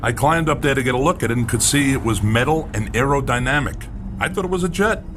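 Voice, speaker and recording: A man's voice: mid-range voice, lively intonation, quick pace, natural voice. Insistent man, an actor in a noisy radio drama. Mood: matter-of-fact. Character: earnest, impatient male writer insisting he saw a crashed alien craft. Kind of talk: radio drama acting, conversational.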